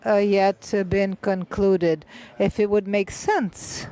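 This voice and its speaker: Simultaneous interpreter, festival panel, halting delivery, frequent filled pauses, mid-pitched voice. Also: steady tone, mellow. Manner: halting, many filled pauses and restarts, uneven pace.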